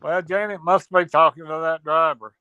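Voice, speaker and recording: A fairly high-voiced man with a drawl co-hosts a relaxed online chat. He greets listeners by name and asks them to hop on. His tone stays easygoing and neighborly. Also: moderate pace, clean tone, dry recording, highs cut off. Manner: friendly, welcoming callers in by name. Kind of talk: casual group chat.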